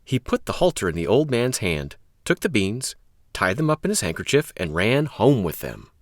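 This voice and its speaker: Very animated male children's storyteller. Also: on the low side, moderate pace, no room sound, studio quality. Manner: expressive, emphatic. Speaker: children's read-aloud host.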